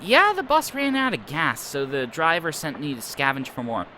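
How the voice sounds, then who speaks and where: A man's voice: on the high side, sing-song, moderate pace, pushed and bright, slight background noise. A man streaming a game, reading its dialogue aloud in a wry, playful way.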